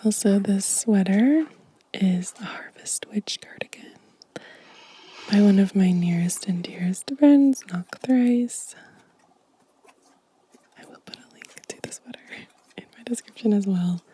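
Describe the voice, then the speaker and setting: Slow, breathy whispering woman. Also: medium pitch. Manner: gentle, unhurried. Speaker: ASMR video creator. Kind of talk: soft-spoken whispered ASMR show and tell.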